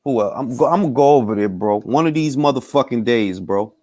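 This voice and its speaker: A man's voice: mid-range voice, expressive, a little fast, warm tone, no echo, clean studio sound. A young man hosting a casual boxing talk stream, bantering with loose, profane energy. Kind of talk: casual live-stream chat with viewers.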